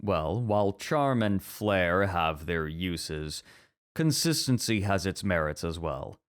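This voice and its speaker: A man playing a measured, traditionalist literature teacher in a scripted ASMR roleplay, speaking formally and with careful reasoning. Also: deep voice, lively intonation, clear, resonant tone. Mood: debating, reserved.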